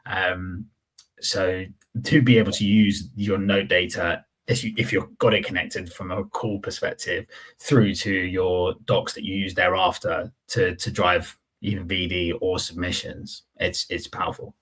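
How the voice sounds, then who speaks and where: A man's voice: low voice, fairly even intonation, unhurried, fairly bright tone, slightly rough, poor audio. A man hosting a podcast in casual chat, giving his opinions informally and circling back to rephrase them. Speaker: podcast host.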